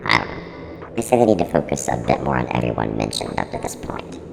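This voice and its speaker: An actor playing a snarky, defensive non-human service unit who runs a horror studio, with a pitched-down, gravelly voice in a mock interview. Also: male voice, sing-song, brisk, exaggerated voice. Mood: defensive, casual. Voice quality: very deep, creaky, heavily reverberant. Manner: blunt, flippant, defensive. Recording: long reverberant echo, music underneath.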